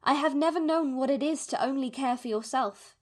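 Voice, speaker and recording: A woman playing an anxious, wounded, fiercely loving middle-aged mother, reading her letters in a formal, measured way in a fantasy audio drama. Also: sits high, close to an everyday voice. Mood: tearful, wistful.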